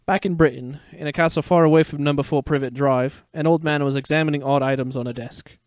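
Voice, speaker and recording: A man's voice: fairly high pitch, steady tone, fast, no echo. A man giving a dramatic narration of a story. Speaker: fan fiction reader. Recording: background music under a dry voice.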